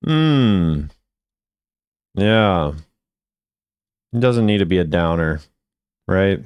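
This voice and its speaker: A man's voice: deep voice, lively intonation, extremely slow, darkish tone, clean tone, no echo. A man hosting a gaming video, giving casual commentary with informal, musing reactions.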